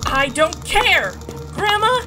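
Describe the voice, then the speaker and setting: A very high-pitched young girl, acted by a woman, impulsive and emotional. Her urgent cartoon delivery swings up and down over background music. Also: sing-song, slow, full character voice. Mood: frantic. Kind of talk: fan comic dub voice acting. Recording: music behind the voice.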